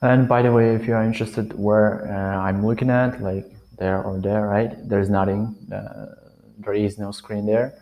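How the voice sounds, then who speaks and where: A man's voice: on the low side, little pitch movement, normal speed, warm tone. A nineteen-year-old man giving unscripted advice to the camera, hesitating often and looking around while he thinks. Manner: hesitant, many fillers and restarts. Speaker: vlogger. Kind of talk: unscripted talking-head advice.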